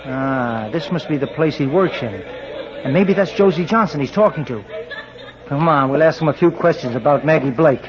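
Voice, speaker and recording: An actor playing a dogged, no-nonsense police detective in an old-time radio drama, terse, probing and authoritative. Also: male voice, fairly high pitch, moderate pace, a crowd in the background, natural voice. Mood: businesslike. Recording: dull old radio recording, background voices.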